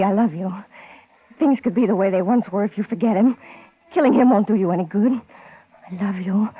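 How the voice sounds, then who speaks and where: Female actor as pleading crime-thriller girlfriend, desperate and melodramatic; breathy husky voice on thin, phone-like vintage radio. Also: medium pitch, natural voice. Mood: tender, pleading. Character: desperate, pleading gangster's sweetheart. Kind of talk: 1950s radio drama acting. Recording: thin, tinny 1950s radio recording.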